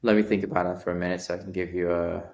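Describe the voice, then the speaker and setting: A young man with a deep, low voice talks casually as a podcast guest. He tells stories with lively energy, often pausing on an "um" before pressing on. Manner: animated storyteller, frequent ums. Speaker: guest, startup founder.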